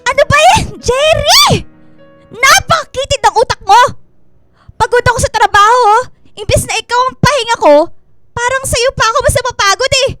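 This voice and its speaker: A woman's voice: very high voice, expressive, moderate pace, bright, forceful tone, dry recording, faint music behind, heavily acted. A woman acting an exasperated Filipino migrant-worker wife in a radio drama, scolding and defensive with indignant questions.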